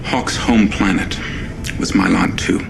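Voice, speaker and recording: Gravelly old-hand space pilot in a game, low voice, terse and grim, music behind. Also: male voice, unhurried, heavily acted, low-quality recording. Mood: grim, reflective. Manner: terse, blunt. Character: world-weary veteran male fighter pilot, grimly reflective.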